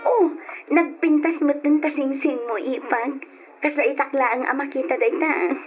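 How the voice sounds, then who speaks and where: Woman acting high-voiced chatty Ilocano confidante. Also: fairly even intonation, normal speed, music in the background, natural voice. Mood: teasing, excited. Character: chatty, teasing woman, the bride-to-be's in-law confidante. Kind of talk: old-style Ilocano radio drama acting. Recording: muffled, noisy radio drama recording.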